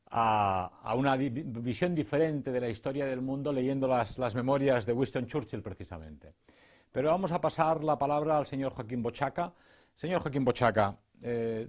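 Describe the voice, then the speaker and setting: A man's voice: mid-range voice, a little mellow. A man conducting a formal interview, introducing his guest and asking questions with frequent hesitant fillers.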